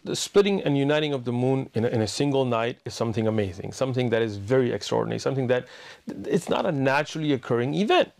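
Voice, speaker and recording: A man's voice: medium pitch, expressive, quick pace, vocal fry, darkish tone. A man giving an explanatory religious talk in an emphatic manner, posing rhetorical questions to his audience. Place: indoor room with bookshelves.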